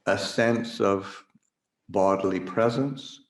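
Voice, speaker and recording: Man, meditation guide, lowish voice, extremely slow pace, halting phrases, long pauses, roomy echo. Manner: halting, gentle pauses, hesitant searching for words. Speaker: Buddhist monk leading a guided meditation. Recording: roomy, echoing livestream recording.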